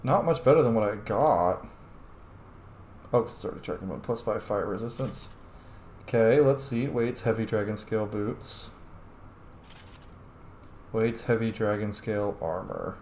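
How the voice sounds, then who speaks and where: A man's voice: lowish voice, a little slow, pauses often, a little mellow. A man giving casual, informal let's-play commentary, thinking aloud as he plays a video game. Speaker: video game player, streamer. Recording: roomy echo, faint background noise.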